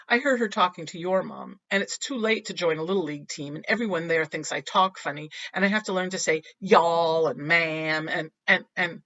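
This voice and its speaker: A woman's voice: on the low side, fairly bright tone. A woman reading aloud, voicing an anxious young boy who rambles through his worries. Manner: rushed, fretful, rambling. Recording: dull lo-fi recording.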